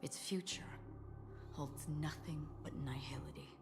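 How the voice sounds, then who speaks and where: A low-voiced, grave, foreboding game character. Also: female voice, fairly even intonation, a little slow, in a whisper, music in the background. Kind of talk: dramatic video game voice acting. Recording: noisy game ambience behind.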